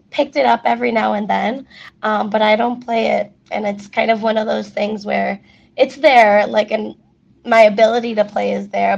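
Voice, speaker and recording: Chatty woman with a gravelly voice. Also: medium pitch, lo-fi sound. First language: Spanish. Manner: chatty, many fillers, quick laughs. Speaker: interview guest, athlete. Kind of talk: casual interview chat.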